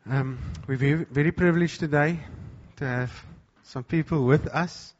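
A man hosting a church service, introducing guest speakers in a relaxed, informal way. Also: on the high side, moderate pace, dull audio. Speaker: church host.

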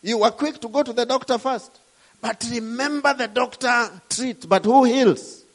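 A man's voice: very high-pitched, moderate pace. A middle-aged man from Kenya with a Kenyan accent, preaching a sermon with emphatic, rising and falling delivery.

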